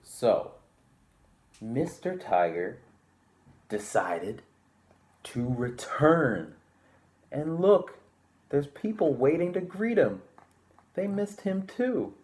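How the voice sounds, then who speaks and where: A man's voice: fairly high pitch, big pitch swings, drawn-out words, long pauses, a little mellow. A man reading a picture book aloud to children with a polite, theatrical delivery and chatty asides. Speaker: library storytime presenter.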